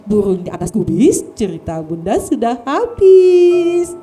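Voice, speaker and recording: A woman telling a children's story in a lively, sing-song way, often addressing the children as friends. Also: fairly high pitch, a little slow, a little hiss or hum.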